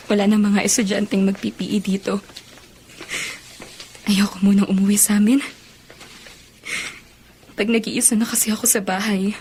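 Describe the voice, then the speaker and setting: A woman's voice: mid-range voice, darkish tone, a little hiss or hum, close to an everyday voice. An actress playing an emotional, hot-tempered young female university student in a Filipino radio drama. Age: young adult.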